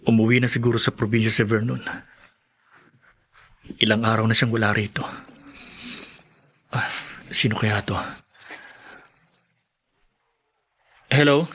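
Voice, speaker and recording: A man's voice: on the low side, fairly even intonation, moderate pace, long pauses, fairly bright tone, breathy edge. A man playing a frustrated, emotional young working man in a Filipino radio drama. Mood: puzzled, subdued. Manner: emotional, exclaiming, lamenting.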